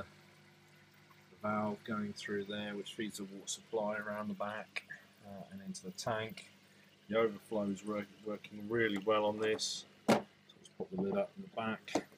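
A man's voice: on the low side, a little hiss or hum, poor audio. A man giving a casual, hesitant, unscripted hands-on update as a hobbyist vlogger, on an amateur phone video.

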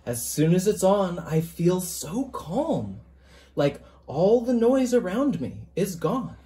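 A teacher reading a children's picture book aloud in a gentle, deliberate, expressive sing-song way. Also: medium pitch.

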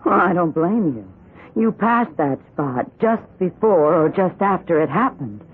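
An actress playing a chatty, practical American housewife in a radio drama, conversational and insistent. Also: female voice, fairly low pitch, expressive, unhurried, husky, full character voice. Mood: concerned. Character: chatty, practical, self-interested suburban housewife. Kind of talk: 1970s radio drama acting. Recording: muffled old radio broadcast.

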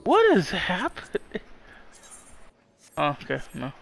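A young man chatting casually with a friend while playing a game, loud, excitable and swearing often. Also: high voice, slow pace, vocal fry, slight background noise. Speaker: gamer streaming his play. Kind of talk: casual gaming chat with a friend.

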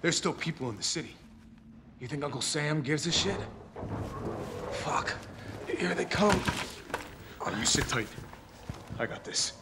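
A man's voice: a little high, lively intonation, measured pace, pauses often, heavy background noise. A man acting a determined, protective young fighter in a video game, with a blunt, streetwise manner. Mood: defiant. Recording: loud game music underneath.

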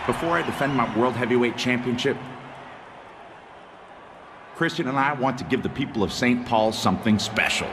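A man's voice: mid-range voice, moderate pace, pauses often, natural voice. An actor playing a cocky, theatrical champion wrestler in a video game, talking in slangy showman style. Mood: cocky, playful. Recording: noisy arena ambience behind voice.

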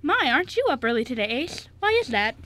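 A kindly orphanage worker in her early thirties, acted by a woman, from an amateur radio play. Her voice is high and bright, and she speaks with friendly encouragement. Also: very animated, fast. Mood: friendly, fond. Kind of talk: amateur radio drama script reading.

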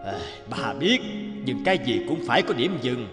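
A man's voice: mid-range voice, very animated, normal speed, long reverb tail, over loud music, close to an everyday voice. A man voicing a stern, exasperated middle-aged father in a cartoon, talking in a firm, scolding way. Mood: weary, firm.

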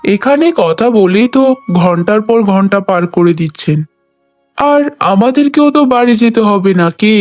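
A man's voice: sits high, darkish tone. A man voicing an impatient, demanding young groom in a cartoon, with a curt, pushy manner. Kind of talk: Bengali cartoon voice acting.

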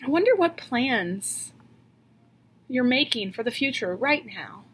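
A woman in her thirties preaching a sermon from a home office into a webcam, earnest and questioning, slowing down to stress key lines. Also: a little high, big pitch swings, husky. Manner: earnest, questioning, slows for emphasis. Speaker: pastor. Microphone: webcam at desk.

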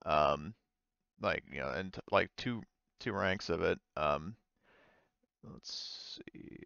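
A man improvising narration as game master of a tabletop game, speaking in a halting, informal way. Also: low voice, gravelly, sharp tone, clear, resonant tone, no room sound, dull audio. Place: indoors, online game session.

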